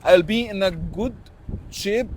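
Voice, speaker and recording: A man in his forties, the interviewer, asking questions haltingly as he searches for words. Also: high-pitched, darkish tone, a little hiss or hum. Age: 40s.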